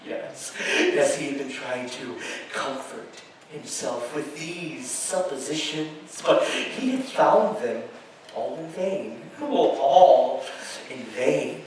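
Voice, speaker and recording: A man on stage playing a deranged, obsessive murderer confessing with chuckling glee, halting and repeating himself in a theatrical gothic horror reading. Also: medium pitch, animated. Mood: hushed, sly, obsessive. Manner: halting, repetitive, swinging from hushed to shouted. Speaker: stage performer. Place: live show at an amusement park. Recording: echoey venue, distant mic, faint noise.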